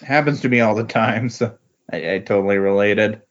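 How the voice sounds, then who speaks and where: A man in a casual podcast discussion about comics, speaking in halting, agreeable remarks. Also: on the low side. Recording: dull, lo-fi voice recording.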